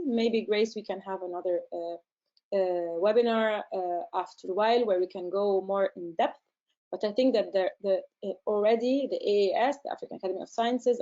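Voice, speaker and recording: Soft-toned woman. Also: mid-range voice, lo-fi sound. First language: Arabic. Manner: measured and explanatory, often pausing to find words. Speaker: research centre director answering questions. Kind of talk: webinar Q&A, advice to listeners.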